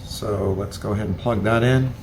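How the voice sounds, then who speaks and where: A man's voice: lowish voice, fairly even intonation, normal speed, background noise. A man giving a hands-on gadget review, explaining features plainly and methodically, step by step. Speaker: product reviewer.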